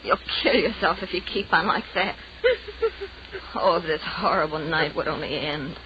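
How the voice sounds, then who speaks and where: A woman's voice: deep voice, moderate pace, natural voice. A woman playing an overwrought, guilt-ridden young woman in an old radio horror drama, intense and melodramatic. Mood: guilty, drained. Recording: dull, noisy vintage radio recording.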